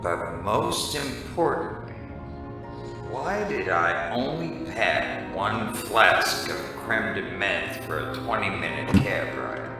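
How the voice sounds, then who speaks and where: A man playing a boozy, wisecracking hardboiled private detective in a parody noir radio drama, delivered deadpan. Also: fairly low pitch, takes it slowly. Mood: wry, musing. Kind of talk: parody noir radio drama, first-person narration and dialogue. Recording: very echoey, distant, music underneath.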